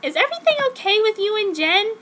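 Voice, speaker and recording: A woman voicing an overwrought teenage heroine in a comedic fan-fiction reading, shrill and gushing. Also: big pitch swings, fast, a bit bright, a little hiss or hum, exaggerated voice. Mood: eager.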